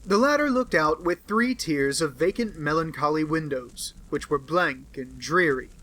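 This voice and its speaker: A man with a slightly high voice reads a classic novel aloud. He tells the story in a measured way. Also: expressive, pushed and bright. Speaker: audiobook reader.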